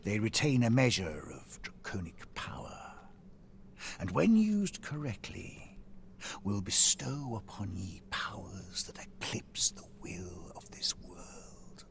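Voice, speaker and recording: An actor playing a weary, solemn former hero in a fantasy video game, with grave, archaic, measured delivery. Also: male voice, fairly low pitch, big pitch swings, creaky, whispered, exaggerated voice. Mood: grave, resigned. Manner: grave, archaic, measured storytelling with sighing line endings. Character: weary, solemn former hero, husk of a fallen chosen one.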